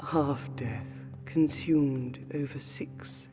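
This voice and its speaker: Over clear background music, a woman performs a centuries-old noble lady vampire speaks in a fantasy roleplay. She is exhausted and sorrowful, with very slow, halting, archaic phrasing. Her delivery is intimate, with sighs and breathy whispered turns. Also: very deep voice, exaggerated voice. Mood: measured, weary. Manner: formal archaic diction, halting, sighing, slipping into breathy whispers. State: exhausted and pained. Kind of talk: fantasy roleplay audio drama, intimate monologue. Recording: music behind the voice.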